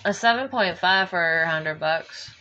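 A woman's voice: on the low side, measured pace, sharp tone. A woman chatting casually and informally as co-host of a show, with the odd swear word. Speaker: co-host of a comic book talk show. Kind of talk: casual chat. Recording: muffled home recording with background noise.

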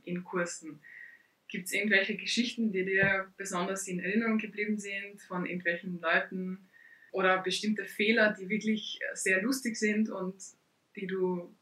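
A woman's voice: medium pitch, steady tone, normal speed, sharp tone. A woman co-hosting a podcast who introduces the episode and asks friendly interview questions. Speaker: podcast co-host. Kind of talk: casual podcast chat. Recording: roomy, distant, faint music.

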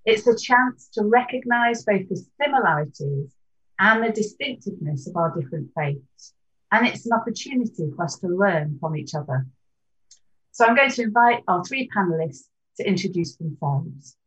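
A woman hosting an interfaith panel discussion in a measured, welcoming tone, now and then clearing her throat. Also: medium pitch, lively intonation, fairly bright tone, low-quality recording. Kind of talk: presenting and leading a short prayer.

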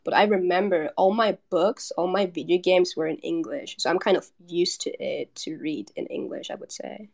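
A woman's voice: on the low side, moderate pace, gravelly, hardly any pauses, darkish tone. A young woman from Germany chatting casually as a podcast guest, giggly and full of fillers. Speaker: artist guest.

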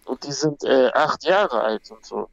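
A man's voice: medium pitch, expressive, a little slow, gravelly, lo-fi sound. A young man from Peru, a guest talking casually and bluntly, with slang and many restarts. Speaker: guest, MMA fighter. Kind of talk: casual conversation.

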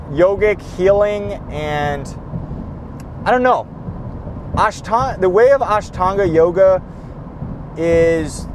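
A man's voice: high voice, noisy background. A tired young man hosting a rambling, profane solo podcast from his car, with many restarts and a voice he says he is losing. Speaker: podcast host. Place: car on the road.

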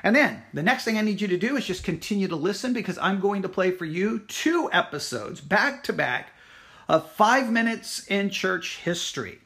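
A man's voice: sits high, very animated, normal speed, fairly bright tone. A man speaking informally as a church teacher, emphatic and insistent, often repeating his points. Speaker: Baptist church teacher and podcast host. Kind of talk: informal spoken announcement and church history teaching.

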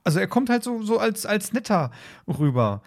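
Rambling man with a slightly high voice. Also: big pitch swings, moderate pace, creaky. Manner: rambling, repeats words, acts out short quotes. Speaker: podcast co-host. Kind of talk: casual discussion of an audio drama.

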